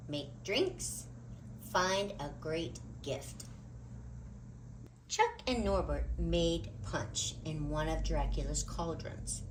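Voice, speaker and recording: A woman reads a children's story aloud with a very lively, swooping delivery. She takes her time and leans on words for dramatic effect. There is some noise in the background. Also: on the low side, poor audio. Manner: expressive, dramatic emphasis. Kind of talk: animated read-aloud story narration.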